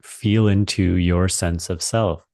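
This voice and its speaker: A man leading a guided meditation as a teacher, speaking calmly and deliberately with long pauses. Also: low voice, no room sound, studio quality.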